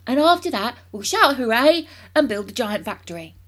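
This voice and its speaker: A woman voicing a wildly excited, bossy father from a children's storybook, ranting about grand schemes in emphatic, impatient exclamations. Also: on the high side, moderate pace, voice pushed up. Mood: eager, bossy.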